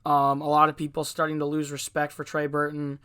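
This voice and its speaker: A young man runs a solo sports podcast with a congested, stuffy voice from a cold. His tone is bright and somewhat high for a man, recorded close and dry with no room sound. He talks in a loose, opinionated way, with plenty of filler words between points. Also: completely flat delivery, fairly quick, smooth, dry recording. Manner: casual, opinionated, frequent "uh" and "um". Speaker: podcast host.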